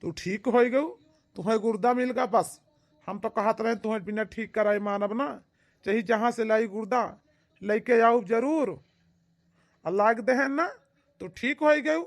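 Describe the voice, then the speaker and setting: A man's voice: sits high, measured pace, long pauses. A man playing an anxious, pleading village husband in an amateur cartoon, speaking with an Awadhi accent in a plaintive way. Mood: consoling. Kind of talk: amateur comedy cartoon dialogue in rural dialect.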